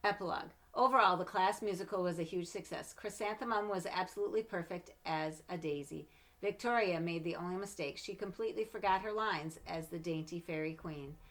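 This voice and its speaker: A woman with a slightly low voice reads a picture book aloud. Her narration is expressive, and she phrases deliberately, leaning on key words. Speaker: storybook reader.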